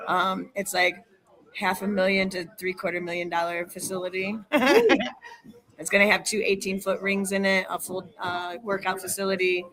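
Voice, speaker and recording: A woman's voice: mid-range voice, steady tone, moderate pace, a bit bright, low-quality recording. A woman chatting casually and enthusiastically as a wrestling trainer and podcast guest. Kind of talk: casual chat.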